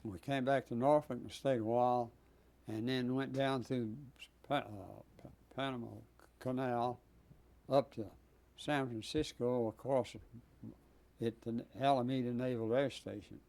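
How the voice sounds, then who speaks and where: An old man in his nineties tells his story in a warm, darkish voice. He speaks haltingly, with many pauses and filler sounds, like a veteran interviewee recalling his youth. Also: medium pitch, steady tone. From Texas. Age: 90s. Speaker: Navy veteran guest. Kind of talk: oral history interview.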